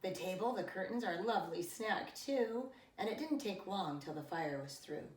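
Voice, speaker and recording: A middle-aged woman reading a children's picture book aloud in an expressive, deliberate way with dramatic emphasis, like a preschool teacher. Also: mid-range voice, distant mic. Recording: roomy echo, away from the mic.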